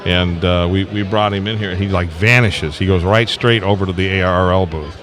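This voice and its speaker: An older man with a fairly low voice hosts a casual interview amid a clearly audible crowd. He is folksy and rambling, circling back over his words as he talks. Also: steady tone, brisk. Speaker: radio show host and interviewer. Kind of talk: casual live interview chat. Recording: live outdoor event with loud crowd behind. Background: busy crowd at a convention.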